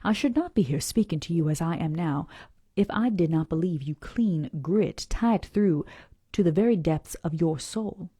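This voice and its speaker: A woman reading the lines of an earnest, gallant young male cowboy suitor in a slow, hearty and emphatic way. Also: on the low side, animated, warm tone, slightly rough, no room sound, clean studio sound.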